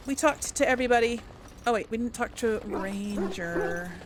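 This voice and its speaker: A woman's voice: mid-range voice, moderate pace, a little hiss or hum. A woman hosting a video game playthrough, commenting casually and thinking aloud as she goes. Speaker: gaming video host.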